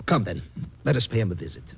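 An actor playing a formal, suspicious Egyptian police lieutenant in a 1950s radio drama, speaking politely and precisely. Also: male voice, fairly low pitch, creaky. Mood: grave, cautious. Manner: polite, measured, precise diction.

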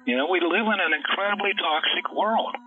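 A man's voice: sits high, brisk, soft background music. A man in an interview clip, speaking in a concerned, hesitant way. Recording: tinny phone-line audio.

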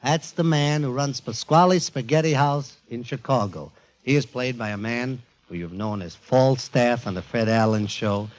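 A man presenting a show to a studio audience in an emphatic, informal, jokey warm-up style, on a 1940s radio recording. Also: mid-range voice, moderate pace, lo-fi sound. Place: before a live studio audience.

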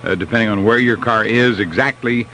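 A low-voiced American man reporting on markets for radio. He talks in a chatty, halting way, conversational even with figures. The old broadcast recording carries faint noise.

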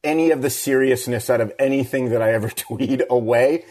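A man's voice: mid-range voice, brisk, a little mellow. A man co-hosting a podcast in loose, colloquial chat with playful sarcasm. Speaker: podcast co-host. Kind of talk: casual chat.

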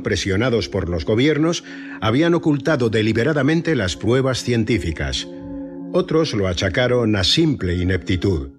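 An actor playing a serious podcaster who narrates a blackout chronicle in a deliberate, scripted style for an audio drama. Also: male voice, medium pitch, lightly acted. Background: music.

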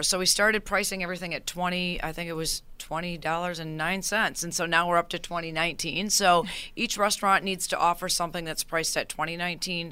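A woman's voice: lowish voice, pushed and bright, clean tone, no room sound. Upbeat woman guest on a radio show. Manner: upbeat, informative, rapid explainer. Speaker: event organizer, guest. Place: radio studio. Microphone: studio microphone.

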